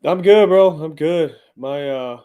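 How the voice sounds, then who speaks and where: A man's voice: fairly high pitch, takes it slowly, clean tone, highs cut off. A man in his thirties hosting a podcast, chatting casually with slang and frequent "uh" fillers. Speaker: podcast host. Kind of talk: casual interview chat.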